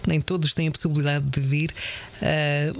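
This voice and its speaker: A woman's voice: low-pitched, level tone, moderate pace, slight background noise. A woman radio host, interviewing a guest in a formal, courteous way in a radio studio.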